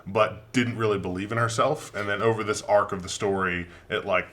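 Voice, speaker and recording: A man giving his take as a panelist in a casual film review chat, informal and halting, often repeating himself. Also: lowish voice, steady tone, a bit bright.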